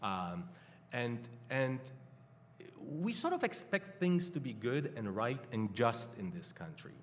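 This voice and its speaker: An older man from Poland with a Polish accent, speaking haltingly as a guest at a live panel. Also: medium pitch, very animated, slight background noise. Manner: halting, many fillers and restarts. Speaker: campaign guest. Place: stage in a hall before a live audience. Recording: hall reverb.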